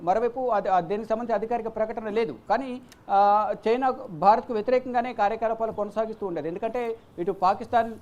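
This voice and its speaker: Woman news presenter, rapid delivery, mid pitch, repetitive hesitant phrasing, muffled lo-fi sound. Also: steady tone, darkish tone. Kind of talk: unscripted live news commentary.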